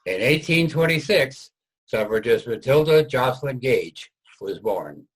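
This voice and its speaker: Husky man narrating history. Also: mid-range voice, lively intonation, measured pace, rough recording.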